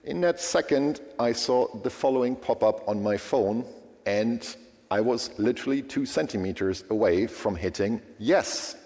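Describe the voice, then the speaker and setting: A man whose first language is German, giving a lively, conversational tech conference talk and often checking in with the audience. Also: medium pitch, unhurried. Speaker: conference speaker. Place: large conference hall. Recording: long hall reverb.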